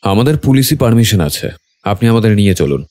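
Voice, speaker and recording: A man playing a brisk, authoritative police detective in an audio drama, curt and guarded in his questioning. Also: lowish voice, lively intonation, darkish tone, natural voice. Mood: firm, curt. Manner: curt, questioning, mixes in English phrases. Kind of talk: acted dialogue with background music.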